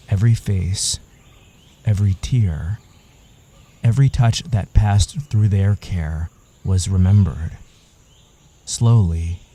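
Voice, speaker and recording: Slow, dark-voiced male story narrator. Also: sits low. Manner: measured, solemn storytelling. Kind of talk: scripted literary audio story narration.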